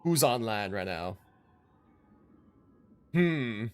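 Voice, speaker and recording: A man's voice: lowish voice, lively intonation, extremely slow, clean tone, soft background music. A young man chatting casually to his livestream viewers as a game streamer, informal and rambling, with free swearing.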